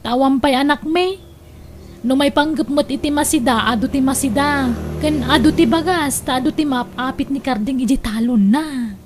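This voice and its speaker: A woman's voice: sits high, normal speed, slight background noise, natural voice. A woman playing a cheerful, contented married daughter in a radio drama, speaking upbeat and reassuringly with an Ilocano accent.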